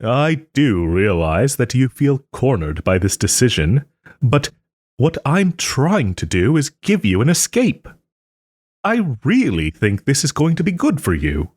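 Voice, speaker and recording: Male actor as a smug soft-hearted nobleman, refined, teasing, unhurried, expressive pitch swings, close dry sound, audio roleplay. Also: husky, no echo. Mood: apologetic, earnest. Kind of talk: audio roleplay, dramatic monologue to listener.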